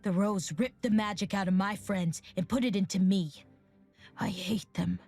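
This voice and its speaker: A woman's voice: mid-range voice, moderate pace, darkish tone, soft background music, natural voice. A woman voicing a bitter, defiant teenage girl warrior in a video game, blunt and sardonic.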